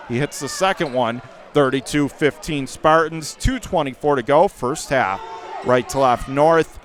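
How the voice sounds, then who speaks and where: Higher-voiced American male basketball play-by-play announcer. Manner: rattles off clipped phrases, rising to excited calls on plays. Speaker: play-by-play commentator. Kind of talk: live basketball radio play-by-play. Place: indoor high school gymnasium.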